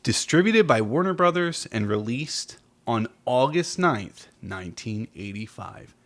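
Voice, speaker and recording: A man's voice: medium pitch, big pitch swings, a little slow. A man in his forties co-hosting a podcast, talking casually about film and explaining things encouragingly. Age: 40s. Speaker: podcast co-host. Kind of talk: casual film chat with some read facts.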